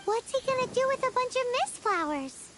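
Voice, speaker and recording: A woman voicing a tiny, excitable female fairy companion in a game cutscene, exclaiming and chattering eagerly. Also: extremely high pitch, a little fast, very noisy. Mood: curious. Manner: excitable, exclaiming, refers to herself by name. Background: game music.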